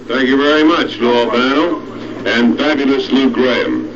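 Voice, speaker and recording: A man's voice: mid-range voice, moderate pace, noisy background. A man working as a wrestling announcer and interviewer, making broadcast announcements, on an old cassette recording of television. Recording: muffled, distant 1971 TV cassette recording, crowd behind.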